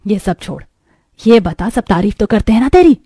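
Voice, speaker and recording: A woman's voice: mid-range voice, lively intonation, moderate pace, mellow, breathy edge, no echo, clean studio sound. A woman voicing a middle-aged mother in a cartoon, matter-of-fact and dismissive as she advises her daughter. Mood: brisk, dismissive.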